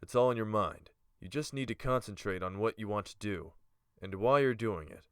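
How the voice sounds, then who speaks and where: A man playing a calm, authoritative guild-leader mage in a fantasy audiobook, speaking in a measured, smooth voice. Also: fairly low pitch, dry recording, clean studio sound, natural voice. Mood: welcoming, instructive.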